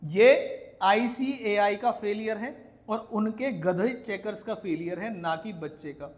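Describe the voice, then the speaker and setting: An Indian man who teaches, ranting indignantly to viewers in an emphatic, scolding way with rhetorical questions. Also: high voice. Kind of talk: indignant video rant to viewers. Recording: echoey room.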